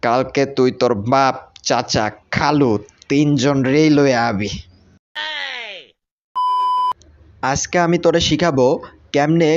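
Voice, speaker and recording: A man's voice: a little high, close to an everyday voice. A man with a Bangladeshi accent voicing a harried, scolding cartoon mouse teacher in colloquial dialect. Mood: stern. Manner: scolding, blunt, exasperated. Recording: muffled lo-fi audio with clear noise.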